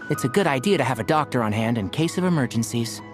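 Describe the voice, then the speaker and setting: A composed young healer from a game who mixes kindness with dry teasing, voiced by a man. His pitch rises and falls expressively. Soft music runs underneath. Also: quick pace, close to an everyday voice. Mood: calm. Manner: calm, lightly teasing. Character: gentle, dry-witted young male healer. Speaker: doctor.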